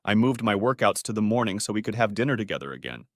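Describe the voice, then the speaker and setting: A male storyteller delivers a scripted first-person narrative. His pitch moves around in a lively way, and the sound is close and dry. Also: quick pace, no room sound.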